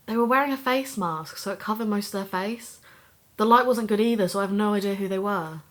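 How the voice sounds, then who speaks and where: A woman's voice: mid-range voice, brisk, fairly bright tone, natural voice. An actress playing a young woman co-host, a bit shaken after an attack yet upbeat.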